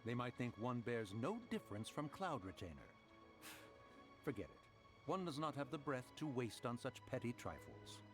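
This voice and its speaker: Haughty immortal sage for a game male actor: mid-pitched, expressive swings, archaic formal diction, music behind. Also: moderate pace, natural voice. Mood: indignant. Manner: stately, archaic, speaks of self as "one". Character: proud, formal immortal adeptus in human form. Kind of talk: video game voice acting.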